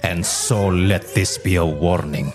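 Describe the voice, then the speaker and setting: A man playing a cruel, imperious pagan Roman proconsul in a religious audio drama, with a deliberate, menacing manner. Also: deep voice. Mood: cold menace. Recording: music playing under the voice.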